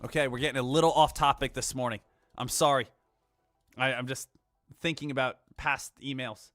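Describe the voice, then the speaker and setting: A man's voice: medium pitch, fairly even intonation, normal speed, a bit bright, no room sound, faint music behind. A man hosting a live day trading stream, chatting casually with viewers in a brash, joking, crude way. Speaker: day trading livestream host.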